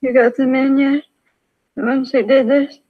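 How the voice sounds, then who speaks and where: An actress playing a dazed, grieving, injured widow in an old radio crime drama, weak and repetitive, in short broken sentences. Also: female voice, high voice, little pitch movement, measured pace. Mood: dazed, distressed. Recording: thin, phone-like vintage radio recording.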